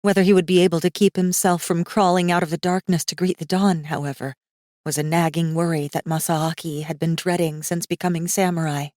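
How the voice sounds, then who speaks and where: Woman doing dramatic audiobook narration, slightly low voice, really dry close studio sound. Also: level tone, a little fast, a little mellow, no echo. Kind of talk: dramatic scripted fantasy narration. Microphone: close studio microphone.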